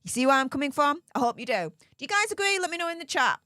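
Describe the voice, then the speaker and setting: A woman's voice: high voice, big pitch swings, rapid-fire, pushed and bright, no room sound, studio quality. A woman with a Yorkshire accent hosting a live stream, reading out donations with perky, gushing enthusiasm. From Yorkshire, England.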